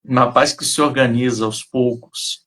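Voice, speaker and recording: A man's voice: mid-range voice, steady tone, normal speed, a bit bright. A man with a mid-range voice hosts a live stream interview. He speaks in a friendly, loose way and keeps tagging his sentences with little check-in questions. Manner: friendly, fills his speech with tag questions.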